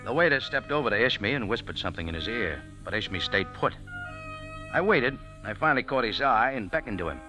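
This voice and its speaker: An actor playing a wry, hard-boiled American café owner, narrating and talking in casual noir radio style. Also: male voice, medium pitch, lively intonation, fairly quick, over music, close to an everyday voice, low-quality recording. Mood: wry, suspicious. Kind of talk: noir first-person narration, old-time radio acting.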